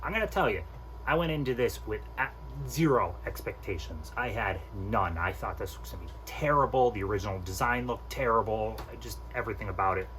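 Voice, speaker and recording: A man's voice: lowish voice, bright, forceful tone, slight background noise, low-quality recording. A man hosting a casual movie countdown review, speaking informally and with strong opinions, with some mild swearing. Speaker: host of a movie countdown video.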